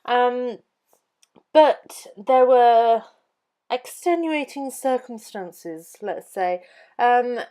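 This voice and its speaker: A woman's voice: a little high, extremely slow, dark tone. A young adult woman with an English accent, chatting casually through a papercraft tutorial voiceover with plenty of ums. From England. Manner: chatty, lots of ums, laughs easily. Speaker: papercraft YouTuber.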